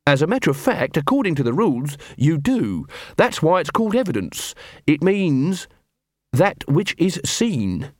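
A man as an earnest, cheerful young corporal, pitched up. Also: very animated, no echo, clean studio sound. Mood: calm, reasonable. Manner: polite, brisk, by-the-book.

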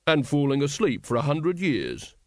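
A man's voice: on the high side, sing-song, normal speed, no room sound, studio quality. Man playing a ponderous know-all. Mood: ponderous, stolid. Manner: ponderous, lecturing. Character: ponderous, stolid, know-all male royalist.

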